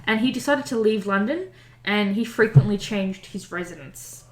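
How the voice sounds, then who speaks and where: A woman's voice: medium pitch, lively intonation, moderate pace, roomy sound, poor audio. A young woman co-hosting a casual podcast, reading history notes aloud, stumbling over the old wording and poking fun at herself. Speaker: podcast co-host.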